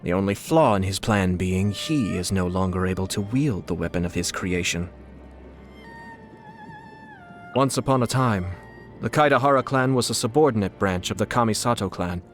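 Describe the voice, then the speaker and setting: A man plays a refined young nobleman from a video game, the shrewd and suave head of his clan. His voice sits fairly low, and he speaks in measured, courtly, polished phrases. Soft music plays behind him. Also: natural voice. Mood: composed, amused. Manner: polished, measured, formal diction. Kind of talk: scripted video game character dialogue. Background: background music.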